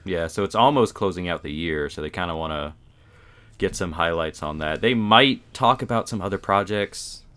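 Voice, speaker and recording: Man, deep voice, podcast panelist, informal chat. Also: normal speed, a bit bright. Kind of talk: casual chat.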